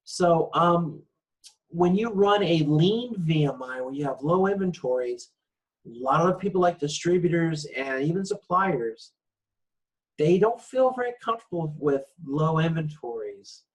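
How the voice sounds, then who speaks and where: Higher-pitched man giving an informal lecture. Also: expressive, a little slow, poor audio.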